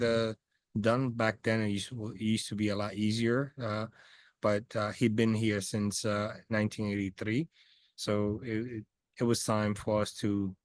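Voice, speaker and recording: A man's voice: on the low side, dry recording. A man in his forties from the Republic, speaking English, answering interview questions over a video call with many hesitant fillers and restarts. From Dominican Republic. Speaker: guest. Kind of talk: casual interview chat. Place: outdoors by a house among trees.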